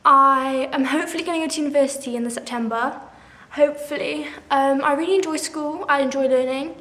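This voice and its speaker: A woman's voice: sits high, fairly even intonation, fairly quick, a bit bright, roomy sound. A seventeen-year-old girl chatting casually through a question-and-answer video, bubbly and full of "like", laughing at herself. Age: 17, teens. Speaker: vlogger. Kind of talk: casual Q&A chat to camera.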